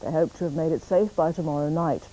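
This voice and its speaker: A woman with a British accent reading scripted news in a formal, measured way. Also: sits low, mellow, poor audio. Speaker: newsreader.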